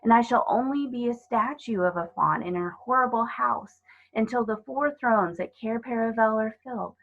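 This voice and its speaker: Woman voicing a guilt-ridden, tearful male faun, husky. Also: on the high side, normal speed, narrow, tinny audio, pitched above the performer's own voice. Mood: tearful, frightened. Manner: melodramatic, pleading. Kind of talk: children's storybook character voice.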